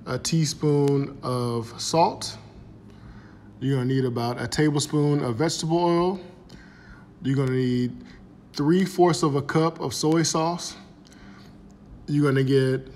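A middle-aged man with an American accent talks in a fairly low-pitched, easygoing way. He walks through things step by step like an informal home cook hosting his own vlog. His voice rings with long reverb, as if caught on a phone in a hard-surfaced kitchen. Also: a little mellow, slight background noise. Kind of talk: casual recipe walkthrough to camera. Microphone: phone at arm's length.

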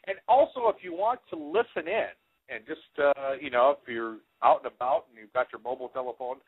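A man's voice: mid-range voice, brisk, slightly rough. A man in his forties hosting a radio show, casually rambling through announcements with frequent hesitations on a headset mic. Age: 40s. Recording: phone-like, band-limited internet radio sound.

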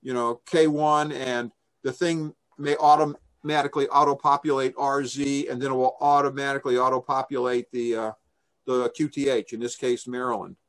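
Older male presenter, conversational and enthusiastic, mid-pitched voice, informal online briefing. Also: flat delivery, normal speed, a bit bright. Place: small room indoors, on a video call. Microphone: video call microphone.

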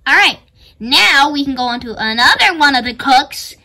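A child voicing a bossy, self-important animatronic chicken chef, announcing cooks in improvised play in a halting manner. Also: sits high, animated, pushed and bright, exaggerated voice.

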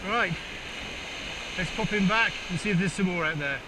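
Middle-aged angler with a high voice, wry and relaxed, recorded on a body cam over roaring waves. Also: male voice, moderate pace. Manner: relaxed, wry, understated commentary. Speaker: fishing vlogger. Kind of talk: casual narration while fishing. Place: open shingle beach by crashing surf. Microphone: action camera worn on the body. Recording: outdoor action cam with loud surf. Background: loud waves and wind.

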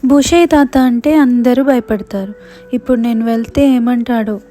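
A woman's voice: a little high, fairly even intonation, normal speed, mellow, faint music behind, natural voice. A woman voicing a polite, eager, innocent little village girl in a moral story cartoon. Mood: apprehensive.

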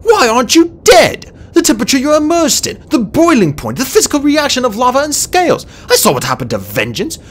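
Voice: medium pitch, wildly swooping pitch, very slow, creaky, pushed and bright, slightly rough, pitched above the performer's own voice, full character voice. A narrator voicing a nervous, bookish young male dragon in an audiobook, urgent and easily flustered. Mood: flustered disbelief. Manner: stammering, incredulous, urgent.

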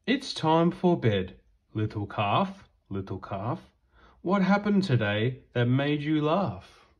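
Slow, lilting man reading a bedtime story. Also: medium pitch, big pitch swings, husky, highs cut off. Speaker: children's book reader. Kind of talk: bedtime picture book read-aloud.

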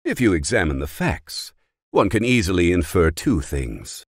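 A sly bookish game villain, super low raspy voice, swoopy intonation, calculating. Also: male voice, sing-song, no echo, studio quality, full character voice. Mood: coolly intrigued. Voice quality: gravelly, husky. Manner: slow, deliberate, musing. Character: scheming scholarly male villain, coolly amused, calculating. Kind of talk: fantasy video game voice acting.

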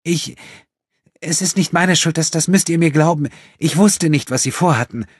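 Ein Mann, der in einem Hörbuch eine verängstigte, flehende junge Frau spricht, die stockend und stammelnd redet. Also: male voice, fairly high pitch, slightly rough, no room sound, studio quality, pitched above the performer's own voice. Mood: pleading, nervous. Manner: stammering, halting. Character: frightened, pleading young woman, stammering and defensive. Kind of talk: audiobook character acting.

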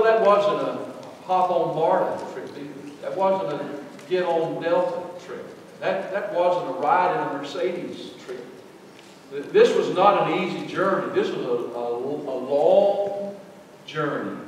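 Middle-aged pastor preaching, fairly high voice, big echoey church, sounds far off. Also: male voice, measured pace, a little hiss or hum. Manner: emphatic preaching, swings from loud to soft. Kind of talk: sermon. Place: large church sanctuary.

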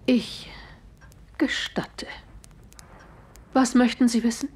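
An actress playing a haughty, indignant widow in an audio drama, speaking formally and with control. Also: female voice, a little high, little pitch movement, slow pace.

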